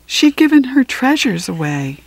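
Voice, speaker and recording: Slow-paced woman reading a storybook. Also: high voice, creaky, rough recording. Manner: unhurried, expressive phrasing with deliberate pauses. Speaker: storybook reader. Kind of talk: read-aloud of a children's picture book.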